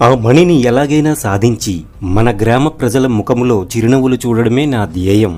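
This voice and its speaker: A man's voice: medium pitch, fairly quick, darkish tone, lightly acted. A man playing a kind, noble village hero in a cartoon story, speaking politely and earnestly. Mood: earnest resolve.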